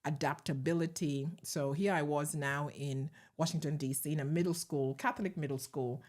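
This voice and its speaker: A middle-aged woman with a Ugandan accent, a guest recalling her past in a measured, reflective way with soft laughs. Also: low voice, steady tone. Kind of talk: conversational interview storytelling.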